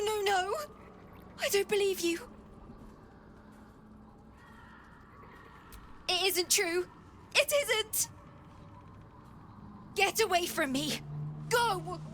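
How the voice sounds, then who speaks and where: A plucky little game-world girl, with a high voice and slow, halting delivery. She talks informally and earnestly, like a scrappy kid, over faint music. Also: fairly bright tone, heavily acted. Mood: distraught denial. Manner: informal, childlike, halting speech. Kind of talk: video game character dialogue.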